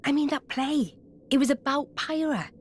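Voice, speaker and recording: A woman playing a brisk, blunt young female companion in a video game, casually teasing and chiding. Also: sits high, a little hiss or hum, lightly acted. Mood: awkward concern. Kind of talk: video game cutscene acting.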